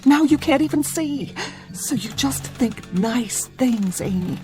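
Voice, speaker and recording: A woman's voice: on the high side, lively intonation, slow, warm tone, faint music behind. An actress playing an anxious small-town mother in a radio drama, folksy and insistent, forcing cheerfulness out of fear. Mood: anxious, pleading. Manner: folksy, insistent, repeating reassurances.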